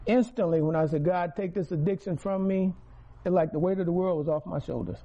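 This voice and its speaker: A man's voice: high voice, a little fast, mellow, muffled recording. A man, giving a preaching testimony to a congregation, repeating key words and building volume on stressed phrases. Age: 60s.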